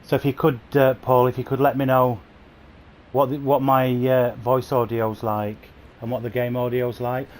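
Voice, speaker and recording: A man's voice: mid-range voice, flat delivery, normal speed. Chatty man streaming a game. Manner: chatty, enthusiastic, talks to viewers by name. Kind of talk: casual livestream commentary. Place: at home playing on a console. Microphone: new gaming headset.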